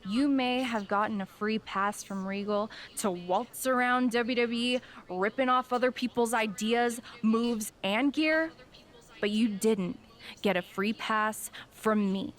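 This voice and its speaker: Smug, slow-talking American female wrestler, voiced by an actress. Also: a little high, lightly acted. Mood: smug, demanding. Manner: sarcastic, drawn-out mock sincerity. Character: smug scheming female wrestler, mock-innocent and taunting. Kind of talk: video game cutscene acting, wrestling promo.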